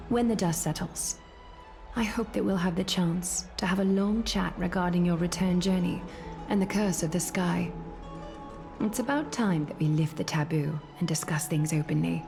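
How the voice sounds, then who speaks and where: A woman playing a solemn, regal leader, speaking in a measured, formal, composed way in scripted fantasy game dialogue. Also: fairly low pitch, creaky, over music. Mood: gracious.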